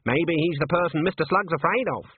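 A man's voice: on the high side, brisk, slightly rough, no echo, phone-quality sound, voice pushed up. A man voicing a shrewd, stern old witch woman in a storybook, speaking bluntly with rustic grammar. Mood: suspicious.

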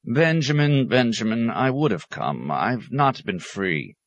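An elderly abbot, played by a male narrator in a storybook reading. He is good-humoured but exasperated, scolding with familiar mock sternness. The pitch sits above the reader's own deep voice, and the sound is dry. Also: lively intonation, measured pace, no echo. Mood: pleading.

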